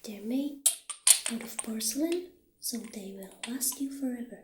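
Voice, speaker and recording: A friendly witch shopkeeper acted out by a woman in an ASMR roleplay, speaking very slowly in a highish, soft, breathy half-whisper. The delivery is gentle and hesitant, and the room rings a little around the voice. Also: expressive, echoey room, very noisy. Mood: gentle, explaining. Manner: gentle, unhurried, hesitant pauses. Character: friendly witch shopkeeper showing off potion ingredients.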